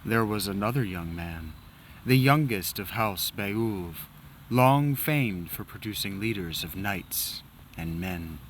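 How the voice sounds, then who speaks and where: A man voicing a solemn male historian who narrates old wars in a slow, theatrical storytelling manner that drops to a hush. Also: lowish voice, expressive, a little hiss or hum. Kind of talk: dramatic reading of game dialogue.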